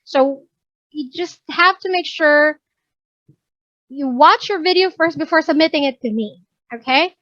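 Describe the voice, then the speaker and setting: A woman's voice: sits high, unhurried, long pauses, a bit bright, no echo, lo-fi sound. A woman trainer with a Filipino English accent, chatting casually as she teaches, mixing Tagalog and English, often checking that she's understood. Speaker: workplace communication trainer. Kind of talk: casual instructive training talk.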